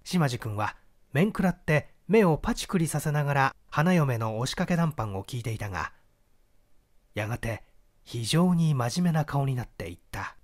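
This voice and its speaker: Expressive male narrator, lively pitch. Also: moderate pace. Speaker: audiobook reader. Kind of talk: scripted literary narration.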